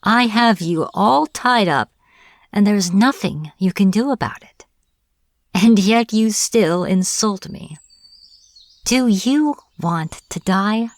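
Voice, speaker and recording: Woman acting smug, slow-talking teasing witch. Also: medium pitch, dry recording. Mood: teasing menace. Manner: taunting, playful, slow deliberate delivery. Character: smug teasing young-sounding witch, captor of a hunter. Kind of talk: audio roleplay, acted monologue to the listener.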